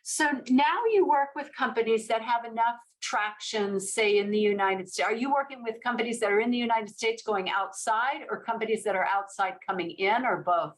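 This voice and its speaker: A woman's voice: a little high, moderate pace, few pauses. Conversational woman podcast host. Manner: conversational, affirming with frequent yeahs. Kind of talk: casual interview.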